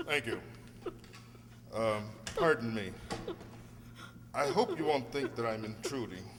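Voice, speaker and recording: A man's voice: mid-range voice, lively intonation, slow pace, fairly bright tone. A man playing a polite, earnest lawyer in an amateur radio drama, courteous and hesitant, baffled and disapproving. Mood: polite, subdued. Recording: reverberant hall, some noise and hum.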